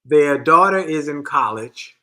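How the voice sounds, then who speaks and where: Animated man retelling a listener's story. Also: on the high side, measured pace. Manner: animated storytelling, repeats phrases. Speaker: co-host of a marriage show. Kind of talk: conversational advice show.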